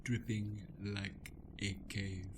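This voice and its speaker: A man's voice: fairly low pitch, level tone, takes it slowly, noisy background. A man playing a scheming, easily deflated witch, campy and melodramatic, in an improvised comic radio play. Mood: suave, sly. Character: theatrical villainous witch Matilda, scheming yet easily deflated.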